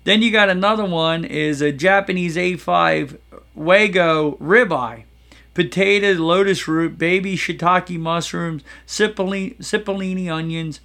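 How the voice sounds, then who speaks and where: A man's voice: high-pitched, creaky, sharp tone. A man hosting a casual chat, joking and stumbling over food names as he reads a menu aloud. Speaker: podcast host.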